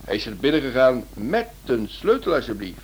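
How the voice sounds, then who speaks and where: An actor playing a shrewd, courteous writer and amateur sleuth in a radio play, with an animated, probing manner. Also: male voice, mid-range voice, natural voice. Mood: brisk. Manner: animated, polite, probing, swings between brisk and hesitant. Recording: noisy old radio drama recording.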